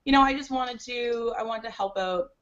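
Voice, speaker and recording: A woman speaking as a podcast guest over a video call, chatty and quick, with lots of "like" fillers. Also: fairly high pitch, a bit bright, highs cut off. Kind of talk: casual interview chat.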